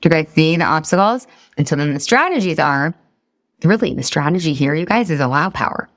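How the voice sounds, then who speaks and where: A woman's voice: low-pitched, lively intonation, fairly quick, dry recording, clean studio sound. A middle-aged woman from the Boston area, a weight loss coach leading a live session. She talks straight to her group and asks them questions in an upbeat way.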